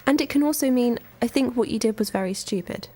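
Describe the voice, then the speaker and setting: A woman playing a measured teacher explaining things in a radio drama. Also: fairly high pitch, darkish tone, lightly acted.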